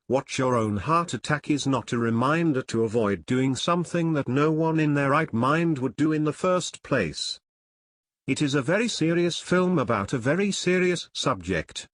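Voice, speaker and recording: A man reading a scripted film review in a measured, wry way, as narrator and critic. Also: mid-range voice, fairly bright tone, no room sound.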